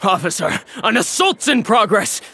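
A panicked young man from a video game, whining and pleading. His voice is creaky and sharp. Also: sits high, sing-song, moderate pace, soft background music, exaggerated voice. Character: whiny, panicked young male victim pleading.

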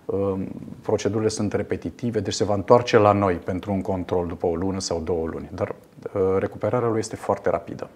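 A man in his fifties, a radiologist guest in a TV studio interview, explaining a procedure in a measured way with frequent fillers. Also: low voice, steady tone, a little mellow, slight background noise. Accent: Romanian. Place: television studio.

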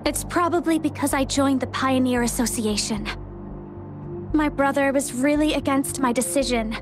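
A woman's voice: high voice, normal speed, over music, lightly acted. A woman voicing an anxious, earnest young explorer in a video game. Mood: troubled.

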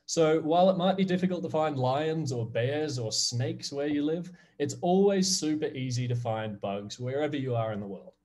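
A man's voice: fairly high pitch, moderate pace, few pauses, darkish tone. Upbeat Australian man presenting online. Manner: friendly, upbeat, addressing kids. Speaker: guest presenter. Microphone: video call.

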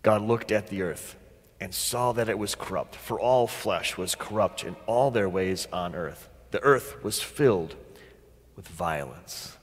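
Pastor preaching in a very reverberant church. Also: male voice, fairly low pitch, slightly rough. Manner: deliberate, dramatic pauses, rhetorical questions and emphatic repetition. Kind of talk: sermon. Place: large church sanctuary, at the pulpit.

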